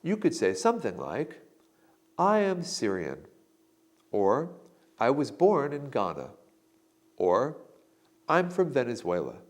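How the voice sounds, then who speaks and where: A man narrates a language lesson at a slow, careful pace. His pitch moves a lot, lifting on each model answer he reads out with clear emphasis. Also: huge pitch swings. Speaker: instructor giving sample answers. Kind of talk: scripted instructional narration.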